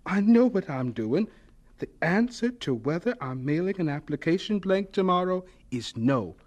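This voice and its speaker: A man's voice: high voice, sing-song, normal speed, a little mellow. An actor in a radio drama playing a devout, earnest young man who speaks politely and with determination. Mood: determined.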